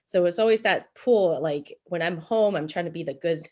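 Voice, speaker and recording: A woman's voice: fairly low pitch, moderate pace, darkish tone. A woman with an American accent giving informal, chatty interview answers as a guest novelist, often saying "like" and "you know". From born in Taiwan, moved to the United States at seven.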